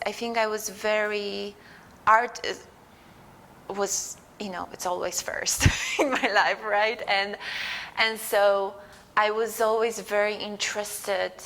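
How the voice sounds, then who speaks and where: Woman, unhurried, creaky fry, thoughtful, searching for words. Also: mid-range voice, a bit bright. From Poland. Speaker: guest artist. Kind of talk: interview answers. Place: museum gallery.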